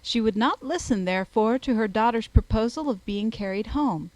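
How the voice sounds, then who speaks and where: A woman's voice: fairly high pitch, lively intonation, moderate pace, a little mellow, no echo, poor audio. A woman reading a classic novel aloud as scripted audiobook narration.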